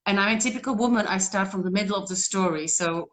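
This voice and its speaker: A woman's voice: medium pitch, fast talker, a little hiss or hum. A woman, a guest on a video call, talking earnestly and rambling with frequent restarts. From Czech Republic. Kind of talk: casual interview talk.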